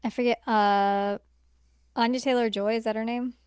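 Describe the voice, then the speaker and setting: A woman's voice: on the high side, fairly even intonation, moderate pace, darkish tone, clear, resonant tone, no echo, studio quality. A woman co-hosting a podcast in casual film-review chat, talking conversationally and peppering sentences with "like". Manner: conversational, filler-heavy with frequent "like". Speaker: podcast co-host.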